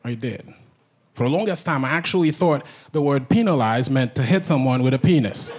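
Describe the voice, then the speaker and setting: A man's voice: expressive, brisk, darkish tone, slightly rough. A young man from Haiti with a Haitian accent, whose first language is Haitian Creole, performing a conversational stand-up set on a club stage with a handheld mic. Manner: conversational, jumps into high-pitched impressions of others. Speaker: stand-up comedian. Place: comedy club stage before a live audience. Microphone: handheld stage mic on a stand cable. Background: faint audience laughter.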